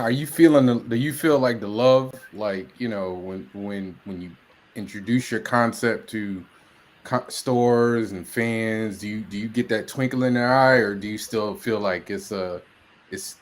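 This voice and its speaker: Loud, chatty man host. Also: lowish voice, normal speed. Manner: loud, chatty, rambling with fillers. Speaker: podcast host. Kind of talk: casual podcast chat.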